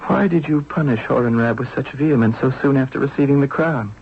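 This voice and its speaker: An actor playing a mild, earnest young pharaoh and forgiving husband in a 1970s radio drama. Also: male voice, mid-range voice, fast, vocal fry, lightly acted. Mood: troubled, earnest. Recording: dull, noisy old radio broadcast recording.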